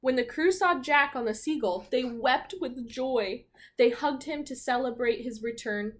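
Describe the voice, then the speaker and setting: A woman teacher reading a picture book to young children, expressively, with chatty asides and questions. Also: high voice. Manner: expressive, pausing to comment on pictures and prompt children. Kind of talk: storybook read-aloud with asides and questions to young listeners.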